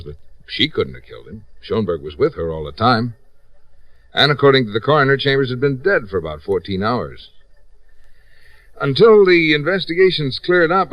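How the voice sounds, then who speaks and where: An actor playing a helpful small-town American police captain in a 1950s radio drama, explaining things in a deliberate, folksy way. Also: male voice, fairly low pitch, no echo, dull audio, lightly acted. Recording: vintage radio recording.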